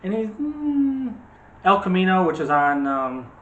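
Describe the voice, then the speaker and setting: A man's voice: high voice, very animated, a little slow, fairly bright tone, far from the mic, dull audio. A man hosting a casual movie chat, talking directly to viewers in a chatty way. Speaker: video host. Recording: roomy, noisy, off-mic home recording.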